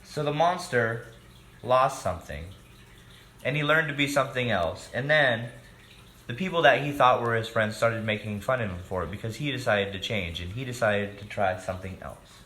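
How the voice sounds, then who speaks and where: A man's voice: mid-range voice, normal speed, pushed and bright. A man reading a children's storybook aloud expressively and speaking directly to his young listeners. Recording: echoey room with background noise.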